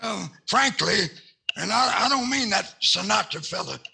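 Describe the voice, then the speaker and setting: An older man acting a blustering, folksy Southern senator in 1940s radio comedy style, raspy and prone to repeating himself. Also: high-pitched, lively intonation, a little slow, pushed and bright, full character voice. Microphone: headset on a video call.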